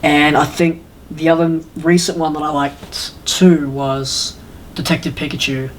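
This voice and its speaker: A man's voice: on the high side, level tone, a little slow, slight background noise. A man chatting casually and emphatically on a podcast, like a keen fan. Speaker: podcast panelist. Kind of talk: casual, enthusiastic podcast chat.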